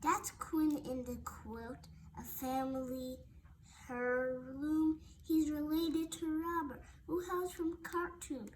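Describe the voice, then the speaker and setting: A woman with a very high, youthful-pitched voice reads a rhyming children's story aloud at a slow pace. She is halting and often repeats words as she goes. Clear background noise sits behind her. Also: fairly even intonation, poor audio. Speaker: storybook reader.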